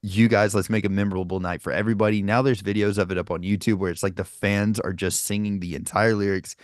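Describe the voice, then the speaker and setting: A man's voice: fairly low pitch, fast, dry recording, clean studio sound. A man from Nashville, a vocalist and podcast co-host, chatting casually and animatedly, swearing and saying "dude" often. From Nashville, USA. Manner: animated, swears freely, says "dude" a lot. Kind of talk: casual chat.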